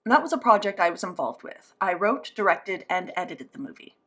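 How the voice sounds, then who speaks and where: A woman's voice: on the low side, big pitch swings, brisk, pushed and bright. Woman voicing an interpreted interview. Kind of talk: spoken interpretation of a signed interview.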